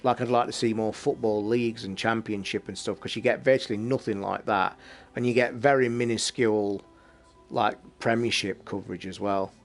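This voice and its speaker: A man hosting a casual late-night livestream from his flat, talking in a rambling, blunt way and swearing freely. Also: on the low side, normal speed. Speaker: livestream host. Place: home flat.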